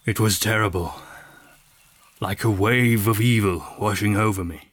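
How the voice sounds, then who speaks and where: A man playing a grave, protective wraith in a fantasy audio drama, with a breathy, husky, solemn voice. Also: on the low side, moderate pace, a bit bright, dry recording. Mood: grim, haunted.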